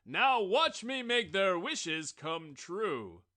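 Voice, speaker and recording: A man reading a storybook aloud voices a boastful, self-important male wishbone who lectures the other kitchen objects in a loud, grand way. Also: sits high, sing-song, extremely slow, heavily acted. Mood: excited.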